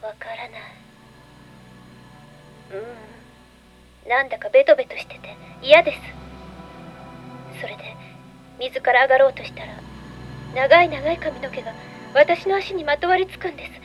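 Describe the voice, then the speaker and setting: A woman's voice: high voice, normal speed, long pauses, highs cut off, soft background music, close to an everyday voice. A woman playing a troubled model in her twenties in a radio drama, hesitant and dreamy. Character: troubled twenty-four-year-old female model in therapy.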